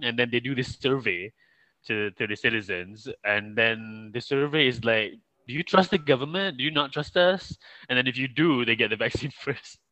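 A man's voice: medium pitch, bright, forceful tone, no room sound, low-quality recording. A young man from Malaysia co-hosting a podcast, playful and full of questions. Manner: playful, asks many questions. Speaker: podcast co-host. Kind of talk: casual podcast chat.

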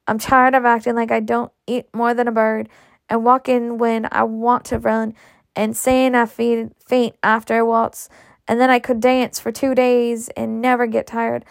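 A woman reading a sharp-tongued young belle. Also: fairly high pitch, flat delivery, measured pace, vocal fry, no room sound, clean studio sound. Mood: resentful. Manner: irritable, cool.

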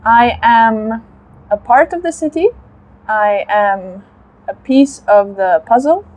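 A woman's voice: on the high side, takes it slowly, a little mellow, low-quality recording. A woman who works in urban planning, answering interview questions in an articulate, structured way. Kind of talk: casual street interview. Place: outdoor fair in a park.

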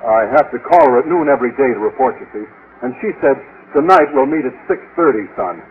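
An actor playing a bashful, naive schoolteacher with a formal, earnest manner in an American radio comedy from the 1950s. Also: male voice, medium pitch, animated, brisk, natural voice. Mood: hesitant. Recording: dull, faintly noisy old radio recording.

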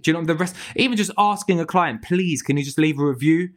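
Excitable business owner chatting. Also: male voice, a little high, fast talker. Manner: animated, swears freely, lots of restarts. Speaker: tree surgery business owner. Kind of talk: casual podcast chat.

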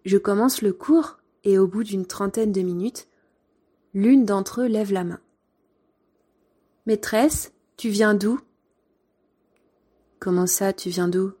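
A woman from France with a faint Angevin accent tells her classroom stories in an unhurried, gentle way. Her voice is mellow and soft-edged, and she takes her time over every sentence. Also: medium pitch, breathy edge. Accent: Angevin French. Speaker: former schoolteacher. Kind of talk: first-person story narration.

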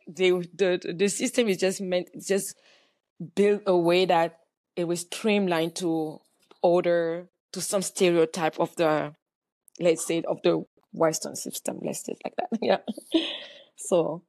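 Woman, halting, many fillers, lower voice. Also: fairly even intonation, darkish tone, no room sound. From Cameroon. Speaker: guest entrepreneur. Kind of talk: conversational interview answer.

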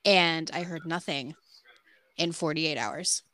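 A woman's voice: sits low, takes it slowly, fairly bright tone. A woman in her late twenties co-hosting a podcast, chatting casually and bluntly, with a frank, filler-heavy way of talking. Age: 20s. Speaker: podcast co-host. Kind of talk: casual chat.

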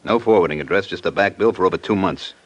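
A blunt, sour man of business in a vintage radio crime play. His voice is low, and he talks gruffly and plainly with a sardonic edge. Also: fast, lo-fi sound. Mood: businesslike. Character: blunt, sour gambler businessman who was swindled. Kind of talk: 1950s radio detective drama acting.

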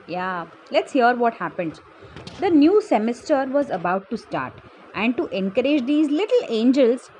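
A woman's voice: on the high side, darkish tone, slight background noise, poor audio. A woman telling a children's story, sweetly encouraging and speaking straight to young listeners with little questions and asides. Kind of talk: bedtime story narration for kids.

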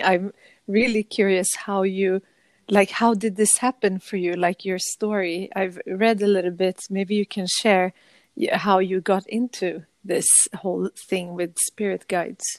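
Woman host, unhurried and encouraging, dry close sound. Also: mid-range voice, steady tone, no echo. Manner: attentive, encouraging, soft backchannels. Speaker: interviewer host. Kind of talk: casual interview.

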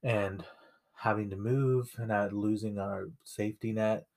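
A man's voice: lowish voice, fairly even intonation, measured pace, darkish tone. A young man co-hosting a casual conversation, speaking reflectively with frequent fillers. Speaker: podcast co-host.

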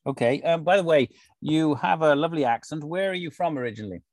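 A man's voice: a little high, quick pace, darkish tone, dry recording. Man, host, dry close sound, genial, chatty. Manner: genial, with fillers. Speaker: podcast host. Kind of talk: conversational interview.

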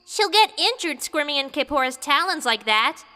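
A woman's voice: sits high, big pitch swings, moderate pace, bright, forceful tone, long reverb tail, faint music behind, voice pushed up, heavily acted. A woman voicing a tiny, bossy female fairy in a story reading, scolding and indignant in manner. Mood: concerned disapproval.

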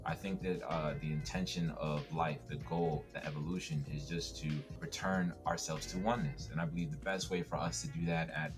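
A young man from the US East Coast, a guest on a podcast, talking in a relaxed, reflective way and laughing often. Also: extremely low pitch, a little fast, few pauses, over music. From United States, East Coast. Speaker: podcast guest, TikTok creator. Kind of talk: casual podcast chat.

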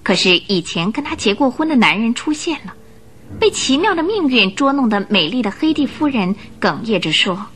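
A woman narrating a scripted Chinese radio drama in an expressive storytelling manner. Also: fairly high pitch, normal speed, a little hiss or hum.

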